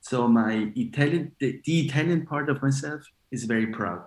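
A man of forty, raised in Switzerland, talking in a relaxed, rambling way as a DJ guest, with many fillers and restarts. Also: mid-range voice, moderate pace, roomy sound. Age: 40s. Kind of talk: casual interview chat.

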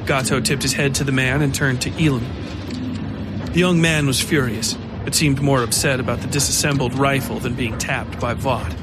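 A man narrates scripted horror fiction for a podcast. His voice sits at a middle pitch. Loud music runs behind the reading throughout. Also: fairly even intonation, moderate pace.

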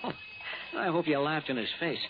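An American actor playing an exasperated, scoffing insurance agent who frets over business, in a 1960s radio drama. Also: male voice, fairly high pitch, moderate pace, lightly acted. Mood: dismissive. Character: exasperated, dismissive male insurance agent, fretting over premiums. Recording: muffled, noisy old radio recording.

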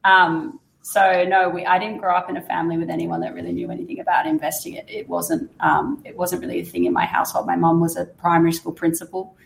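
A hoarse, croaky Australian woman sits low in her range with a gravelly edge. She chats casually and laughs at herself a lot, and the room adds a noticeable echo. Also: little pitch movement, quick pace. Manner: chatty, laughs often, self-deprecating. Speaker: fund manager guest. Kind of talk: casual interview chat.

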